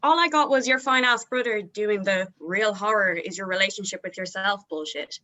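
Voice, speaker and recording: A woman's voice: mid-range voice, normal speed, bright, forceful tone, lightly acted. A woman reading the part of a feisty, foul-mouthed young band member itching for a fight, in a horror comedy table read. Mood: eager, grumbling.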